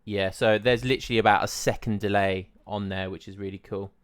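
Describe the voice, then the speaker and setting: A man's voice: on the low side, steady tone, fairly quick, no room sound, clean studio sound. A man from England, casting a game match, chatting in an easygoing way and laughing often. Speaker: esports caster. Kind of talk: casual pre-show chat.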